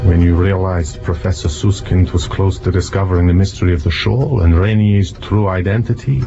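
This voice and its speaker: An actor playing a shrewd, self-assured detective in an audio drama, speaking in a deliberate, mock-courteous, accusing manner. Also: male voice, deep voice, steady tone, dark tone, dull audio, soft background music. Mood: grave, accusing. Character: shrewd male detective exposing a murderess, theatrical, self-assured.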